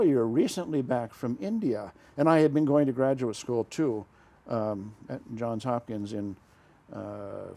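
An older man, a retired diplomat, recalling his career in a relaxed studio interview, halting often with uh and small self-corrections. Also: mid-range voice. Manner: rambling, halting, frequent uh and self-corrections, chuckles. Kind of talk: conversational storytelling interview. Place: small dark studio set, indoors. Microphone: lapel mic.

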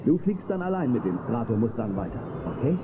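A man's voice: mid-range voice, big pitch swings, a little fast, lightly acted. Man acting a confident space-western marshal, tinny cassette. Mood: amused, reassuring. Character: calm, brave space-western marshal, fearless protector. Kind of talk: German children's audio drama acting. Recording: tinny, noisy old cassette recording.

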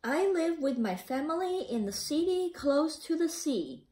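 A woman reading a children's picture book aloud in a careful, slightly halting way. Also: sits high, sing-song, dark tone. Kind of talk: read-aloud storytelling.

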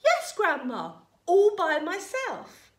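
A woman's voice: steady tone, a little slow. A woman voicing an eager, defiant young boy from a storybook, calling out insistently. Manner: high, shouted, insistent. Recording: roomy echo, distant from mic.

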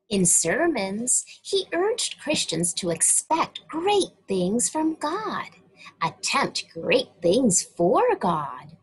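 A woman reading a Bible story aloud to children, talking to them directly in a slow, sing-song way. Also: medium pitch, slightly rough, poor audio. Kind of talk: read-aloud story lesson for kids.

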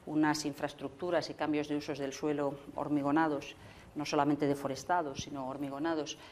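A middle-aged woman, answering at length on a panel in a conference hall. She speaks in long, measured sentences and often restarts mid-phrase. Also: sits low, level tone, a little mellow, a little hiss or hum. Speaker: government minister on a panel. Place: stage in an indoor conference hall.

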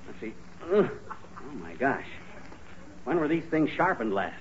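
A man's voice: mid-range voice, sing-song, a little slow. A man playing a stubborn, boastful, bumbling husband in a 1940s radio comedy, brash and folksy. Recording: noisy, muffled 1940s radio recording.

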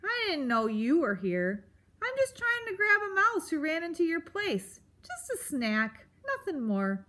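A woman's voice: very high voice, wildly swooping pitch, measured pace, pushed and bright, voice pushed up, exaggerated voice. A woman voicing a sniveling male fox who apologizes and wheedles in a storybook reading. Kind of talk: storybook read-aloud.

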